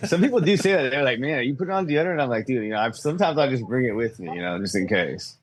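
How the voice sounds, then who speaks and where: A man's voice: mid-range voice, lively intonation, quick pace. A man chatting casually as a podcast guest, easygoing and feeling his way through phrases. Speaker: podcast guest, pro cornhole player. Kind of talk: casual chat.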